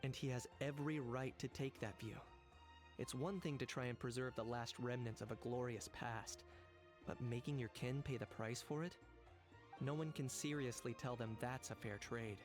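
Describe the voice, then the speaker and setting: A man voicing a calm, businesslike young monster hunter in a video game, measured and matter-of-fact. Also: medium pitch, over music, natural voice. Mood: grave, measured.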